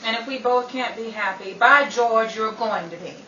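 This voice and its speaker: A woman's voice: a little high, moderate pace, close to an everyday voice. A woman playing a worldly, melodramatic, bossy but loyal friend in a 1930s-style radio drama, with a wry, commanding delivery. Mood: bossy, caring. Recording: dull, roomy, distant, with faint noise.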